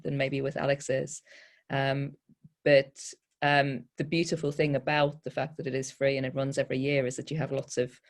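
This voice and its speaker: A woman writer talking off the cuff on a panel, with a halting, tentative delivery. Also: very deep voice. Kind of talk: spontaneous panel talk.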